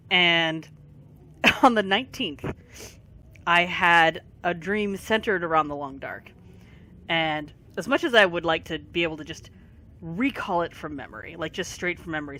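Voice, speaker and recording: A tired gaming video creator, short on sleep and fighting allergies, giving a casual rambling commentary full of pauses. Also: medium pitch, pushed and bright. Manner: rambling, many pauses and fillers. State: voice affected by allergies.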